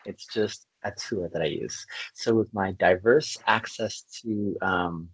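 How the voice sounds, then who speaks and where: Husky low-voiced man hosting a podcast. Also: slow pace, dry recording, low-quality recording. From Canada. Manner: articulate and reflective, laughs easily. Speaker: podcast host. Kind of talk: conversational interview.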